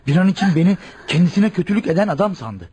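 An actor playing an authoritative senior doctor in a radio drama, speaking in a measured, instructive and probing way. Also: male voice, high voice, sing-song, warm tone, husky, exaggerated voice. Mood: calm, probing.

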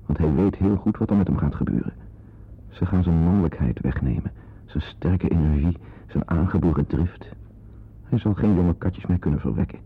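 An actor playing a melancholy, protective pet owner in a radio drama, brooding and a little hesitant. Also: male voice, deep voice, breathy edge. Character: melancholy, protective male pet owner, narrating his thoughts. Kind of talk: radio drama acting, introspective narration and dialogue. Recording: dull lo-fi radio play recording.

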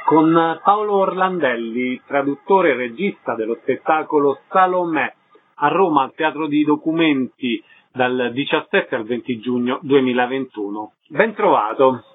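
A man's voice: medium pitch, expressive, measured pace, breathy edge, narrow, tinny audio, soft background music. A man, hosting a radio show, announcing events and putting questions to guests. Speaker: radio host and interviewer.

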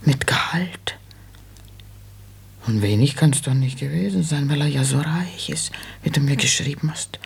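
An actress playing an insistent, plaintive old mother who talks plainly and haltingly, in a German radio play. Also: low voice, exaggerated voice. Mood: coaxing, wistful. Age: older. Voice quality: husky, creaky. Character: nagging, money-minded old widowed mother, plain-spoken.

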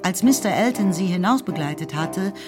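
A woman narrating a radio play in a measured, expressive way. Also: fairly low pitch. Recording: music behind the narration.

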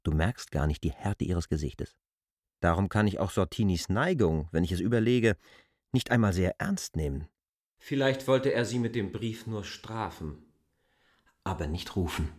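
An argumentative, judgmental outsider in a radio play. The voice is low and very animated, recorded dry and close. He speaks in an insistent, probing way. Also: moderate pace, slightly rough, no echo. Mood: reproachful. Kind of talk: radio drama acting.

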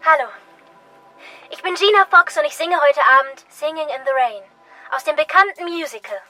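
A bright-voiced, starry-eyed young woman. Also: high voice, moderate pace, soft background music. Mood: dreamy, earnest. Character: starry-eyed young postwoman dreaming of singing stardom. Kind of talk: radio play acting, rehearsed stage introductions and interviews.

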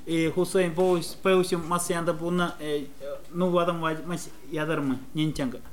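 A man interpreting a sermon phrase by phrase, quick and hesitant, with fillers. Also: on the high side, little pitch movement, slight background noise.

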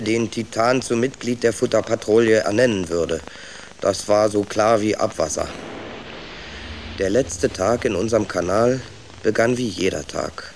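A man's voice: on the low side, darkish tone, a little hiss or hum. An actor narrating a children's radio play as a young sewer rat recounting his own story. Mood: reflective.

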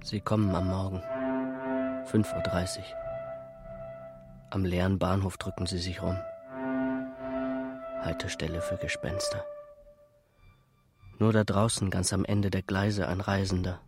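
A man's voice: low voice, flat delivery, a little slow, pauses often, over loud music. An actor playing a brooding, restless man in a literary radio drama, telling his story in terse, clipped fragments. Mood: watchful, terse.

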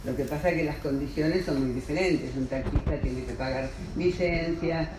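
A man's voice: a little high, normal speed. Guy at a panel, chuckles a lot, far from the mic, noisy echoey room. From Spain. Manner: rambling, self-correcting, chuckles often. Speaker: panel speaker. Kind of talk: spontaneous panel talk. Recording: roomy hall from far off, background noise.

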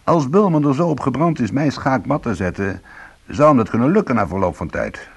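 A man's voice: medium pitch, expressive, fairly quick, gravelly, husky. A man playing a bitter, sardonic small-time ex-convict in a Dutch radio play, protective and combative. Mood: bitter, wry. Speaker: lead actor and first-person narrator.

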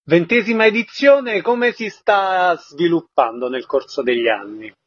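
A man's voice: high voice, animated, a little slow, slightly rough, no echo. A man hosting a radio show, putting questions to guests and announcing event details. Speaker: radio host, interviewer. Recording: slightly dull radio sound.

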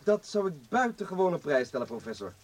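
An actor in a radio play playing a brisk, self-assured hospital surgeon with a polite, decisive, professional manner. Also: male voice, sits high, very animated, darkish tone. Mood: businesslike.

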